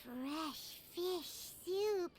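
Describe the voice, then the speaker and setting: Tiny eager fairy sidekick, extremely high, swooping, childlike, cartoon game style over loud music. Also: female voice, animated, very slow, heavily acted. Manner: squeaky, eager, refers to herself by name. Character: small, excitable, childlike female fairy companion. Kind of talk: cartoonish video game voice acting. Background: prominent game music.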